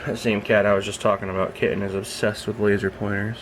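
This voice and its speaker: A young man with a very low voice, just out of bed, rambling softly in a casual vlog as he films his snake at home. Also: flat delivery, quick pace, background noise. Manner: rambling, soft-spoken, keeps his voice down. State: just woke up. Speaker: reptile keeper filming his snake. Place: at home beside a reptile rack.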